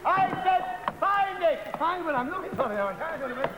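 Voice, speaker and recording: A man's voice: extremely high pitch, lively intonation, moderate pace, echoey room, very noisy. A man on stage playing a bustling comic pantomime dame, chatting to the audience. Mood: flustered. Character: brash comic pantomime dame, middle-aged woman. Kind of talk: live stage comedy. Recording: archive stage recording with loud music.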